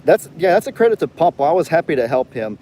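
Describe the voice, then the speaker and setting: A man's voice: a little high, very animated, quick pace, mellow, slight background noise. A young man with a light Southern US accent, hosting a casual live chat and rambling as he reads viewer comments aloud. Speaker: livestream host.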